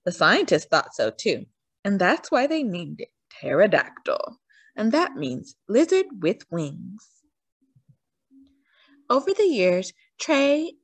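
A woman reading a children's picture book aloud in an expressive storytelling manner, drawing out words for emphasis. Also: medium pitch, no echo. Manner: animated storytelling with drawn-out emphasis. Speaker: storytime reader.

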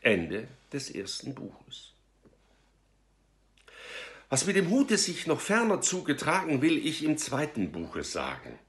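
A man in his fifties reading a verse fable aloud in a theatrical, deliberate storytelling style with emphatic pauses. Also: mid-range voice, huge pitch swings. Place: small room at home, indoors.

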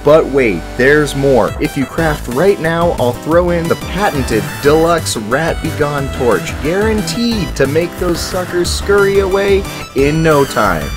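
A young man voicing a pushy, excitable TV infomercial pitchman with a loud, hard-selling manner. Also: a little slow, few pauses, over music. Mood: excited hard sell.

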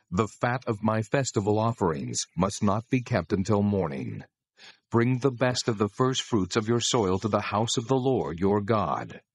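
A commanding divine lawgiver, low voice, solemn n measured, close dry audio bible drama. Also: male voice, darkish tone, no room sound. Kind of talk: dramatized scripture reading.

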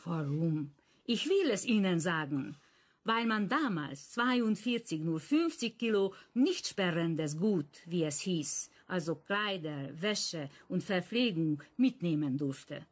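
An actress playing an older Holocaust survivor in a German radio crime drama, explaining deliberately with wry bitterness. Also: female voice, fairly low pitch, expressive. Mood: bitter, explaining.

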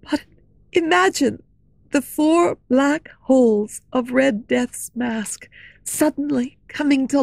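A woman's voice: high-pitched, gravelly, no room sound, full character voice. A woman reading as a frightened young female singer telling her lover a terrible story. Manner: earnest, fearful storytelling.

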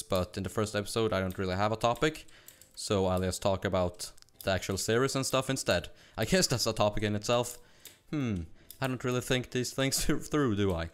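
A young man from Sweden with a Swedish accent, chatting fast and rambling with laughs while narrating his gameplay. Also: on the low side, smooth. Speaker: gaming YouTuber. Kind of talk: casual gameplay commentary.

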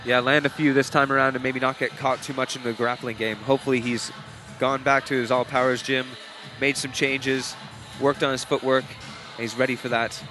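A British man co-commentating a cage fight in a steady, mid-pitched voice. He breaks down each exchange in a measured, analytical way. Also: soft background music. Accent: British English. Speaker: MMA co-commentator.

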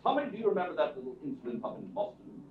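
An actor in a radio theatre show playing a courteous, dignified butler with a wry streak and measured, formal speech. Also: male voice, fairly high pitch, big pitch swings. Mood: reflective. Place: theatre stage before an audience. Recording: distant echoey stage, tinny, faint noise.

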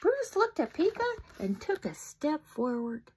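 A woman in her fifties reading a picture book aloud at home into a phone camera, animated, with whispered asides. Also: high voice, measured pace, a little hiss or hum, low-quality recording. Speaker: storybook reader. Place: home room indoors. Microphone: phone camera close up.

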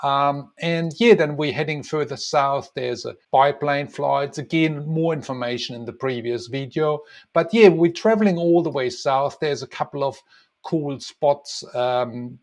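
A man's voice: a little high, measured pace. Middle-aged male tour guide explaining routes. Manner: relaxed, many ums and uhs, explaining. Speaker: tour guide and travel designer. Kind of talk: casual map walkthrough narration.

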